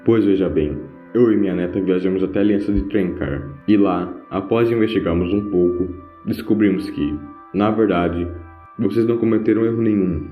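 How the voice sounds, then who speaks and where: A man's voice: low voice, normal speed, close to an everyday voice. A man playing a kindly old grandfather doctor with an old-fashioned, hemming manner in an audio drama. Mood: formal, reassuring. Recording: roomy, dull home recording with music.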